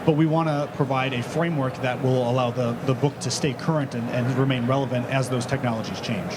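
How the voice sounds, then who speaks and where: Man, steady explanatory delivery, average pitch, noisy conference floor. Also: fairly quick. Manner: fluent, explanatory. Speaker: author on a panel. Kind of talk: panel explanation. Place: large conference stage.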